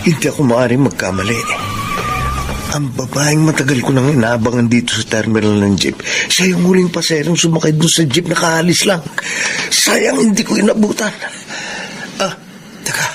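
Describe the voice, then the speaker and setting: An actor playing an eager, infatuated young Filipino admirer in a radio drama monologue, determined and smitten. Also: male voice, on the high side, normal speed, over music, close to an everyday voice. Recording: loud street traffic noise.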